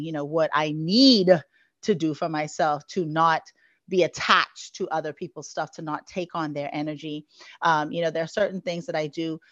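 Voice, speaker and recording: A woman's voice: low voice, a bit bright, dry recording. Earnest Bahamian-born woman, lo-fi and dry. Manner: earnest, flowing, many "you know" fillers. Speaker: guest, life coach. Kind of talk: interview answers.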